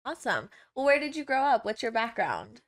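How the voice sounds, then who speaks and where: A woman's voice: on the high side, big pitch swings, a little fast. A young woman hosting a podcast interview, asking questions in a friendly, affirming, agreeable way. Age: young adult. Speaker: guest podcast host. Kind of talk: casual interview chat. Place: small indoor studio, on a couch. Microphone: lavalier mic.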